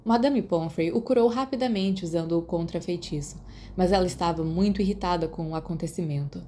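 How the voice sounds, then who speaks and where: Female audiobook narrator, Brazilian accent, warm dark timbre, scripted fiction, light background noise. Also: lowish voice, a little fast.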